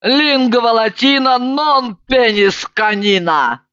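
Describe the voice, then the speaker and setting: A woman voicing a brash, hard-drinking woman in a story, who declaims in a booming, unflappable way. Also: slow, fairly bright tone, clean tone, no echo, muffled recording. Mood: unperturbed. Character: brash hard-drinking woman solemnly spouting Latin toasts.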